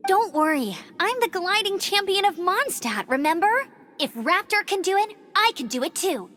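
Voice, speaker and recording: A woman's voice: very high-pitched, lively intonation, moderate pace, a bit bright, no echo. A woman voicing a bold, plucky young female scout in a video game, perky, earnest and confident. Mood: determined.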